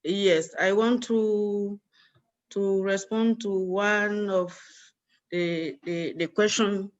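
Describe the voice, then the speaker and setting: A dull online line carries a forum participant speaking without a script. The delivery is slow and halting, with repeated words. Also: mid-range voice, steady tone. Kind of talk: unscripted conference intervention.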